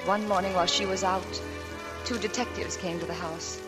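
An actress playing a gentle, devoted young wife in a 1940s radio drama, soft and earnest as she narrates. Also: on the low side, fairly even intonation, normal speed, lightly acted. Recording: old 1940s radio broadcast with music.

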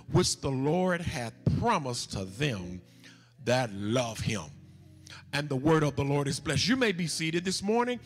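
A man in his forties with a Southern US accent, preaching a sermon at a pulpit with a handheld mic and reading scripture slowly and deliberately. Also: fairly high pitch, fairly bright tone. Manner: leads the congregation in call-and-repeat, reads scripture slowly and deliberately. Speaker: pastor. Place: large church sanctuary, standing at a pulpit. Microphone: handheld microphone. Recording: church hall with long reverb, faint music.

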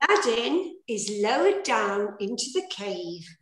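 Slow, high-pitched theatrical woman narrator. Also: big pitch swings. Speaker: director and fairy narrator. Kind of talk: pantomime narration and stage directions. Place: indoors at home, on a video call. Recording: echoey room on video call.

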